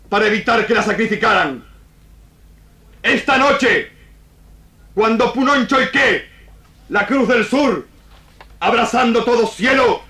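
A commanding male war chief, raised very high and forceful. He orates slowly and proudly in broken phrases, in an echoey old radio drama recording. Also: heavily acted. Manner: loud oratory in broken speech, proud and forceful.

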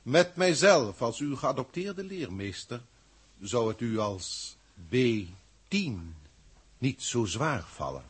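An actor in a 1960s science-fiction radio drama playing a formal, grandiloquent alien proctor who speaks deliberately and pompously. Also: male voice, mid-range voice, big pitch swings, slightly rough, full character voice. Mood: pleased, grand. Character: authoritative, grandiloquent alien proctor from a galactic federation.

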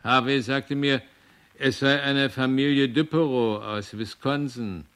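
An actor playing a sober commander who reports matter-of-factly as a witness in a radio play. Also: male voice, medium pitch, fairly even intonation, measured pace, a bit bright, clear, resonant tone, natural voice. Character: sober male coast guard commander giving testimony.

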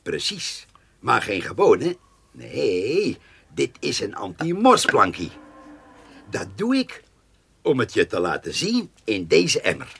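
An actor playing a cheeky, proud farmhand inventor in a radio play, colloquial with mock-grand salesmanship. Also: male voice, mid-range voice, wildly swooping pitch, takes it slowly, vocal fry, long pauses, a bit bright, husky, heavily acted. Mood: eager. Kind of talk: comic radio play dialogue.

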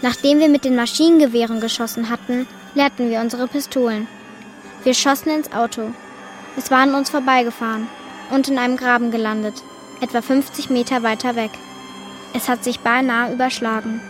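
A woman's voice: a little high, fairly even intonation, normal speed, soft background music, natural voice. A woman acting in a radio drama, giving testimony as a matter-of-fact police officer.

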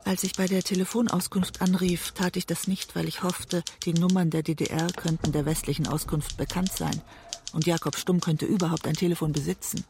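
An actress playing a West German woman writer who narrates an obsessive love story in a measured, introspective way, as in a literary audio drama. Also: on the low side, steady tone, background noise, natural voice. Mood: obsessive, reflective.

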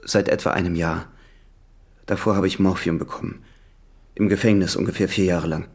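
Weary ex-convict, low husky voice, reserved, evasive, crime radio play. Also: male voice, steady tone, moderate pace, darkish tone. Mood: guarded. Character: guarded, weary male ex-convict, evasive and restrained. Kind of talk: German radio crime drama acting.

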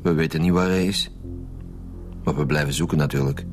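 An actor playing a stern, weary chief detective in a radio drama, with a Flemish accent and a formal, probing manner. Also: male voice, rumbling low, steady tone, brisk, music in the background. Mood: measured. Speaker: lead police investigator. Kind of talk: naturalistic radio drama acting.

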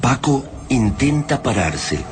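A man narrating a radio drama from a script, in short, measured, suspenseful phrases. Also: mid-range voice, very animated, music in the background.

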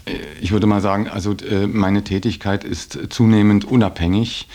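A man giving reflective interview answers in a hesitant, halting, thoughtful way. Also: lowish voice, little pitch movement, mellow.

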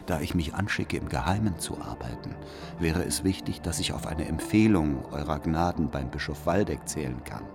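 An actor playing a cunning, servile spy in a radio drama, reading out his secret letter in a formal, deferential, measured way. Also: a bass voice. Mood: secretive, deferential. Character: cunning male spy, servile and coldly calculating. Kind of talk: radio drama, letter read as inner monologue.

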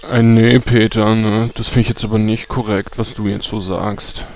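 An actor playing a mellow, laid-back social-worker type who drawls with filler words in a comedy audio drama. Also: male voice, fairly low pitch, little pitch movement, normal speed, lightly acted, low-quality recording.

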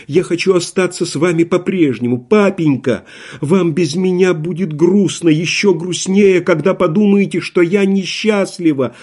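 A man's voice: dark tone, slightly rough. A man reading aloud, voicing a young noblewoman who tearfully begs her father. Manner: pleading, tearful, raised pitch.

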